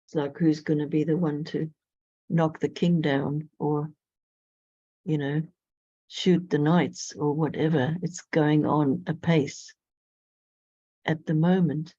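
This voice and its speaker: A woman speaking as an astrologer guest in an interview, thoughtful and measured. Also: low voice, fairly even intonation, warm tone, breathy edge, no room sound. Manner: thoughtful, measured, with frequent "you know". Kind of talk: reflective conversational interview. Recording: dull lo-fi audio.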